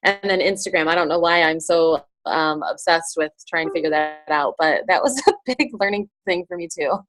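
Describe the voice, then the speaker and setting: A woman sharing with her team on a video call, speaking softly and haltingly with many fillers. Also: sits low, low-quality recording.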